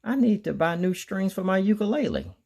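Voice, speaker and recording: A friendly lion from a picture book, voiced by a man reading aloud. The delivery is animated and approachable, with wide pitch movement. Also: brisk, creaky, full character voice. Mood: friendly, eager. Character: friendly lion asking for a lift.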